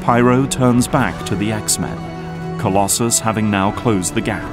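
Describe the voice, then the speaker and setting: A man's voice: fairly low pitch, unhurried, over music. A man narrating an action audio drama from a script.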